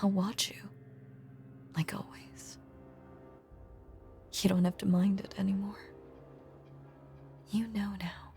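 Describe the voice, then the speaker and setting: A woman voicing a lonely, possessive young ghost in an intimate audio roleplay, speaking in a hushed, halting, breathy voice that is tender and sweetly sinister. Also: medium pitch, level tone, faint music behind. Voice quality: breathy, often slipping into whisper.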